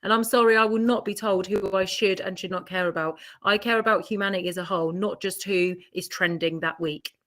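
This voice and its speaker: A woman with a medium-pitched voice talks as a podcast co-host. She is emphatic and insistent, laying out strong opinions in a casual way and driving them home with rhetorical questions. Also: steady tone, a little fast, hardly any pauses, fairly bright tone.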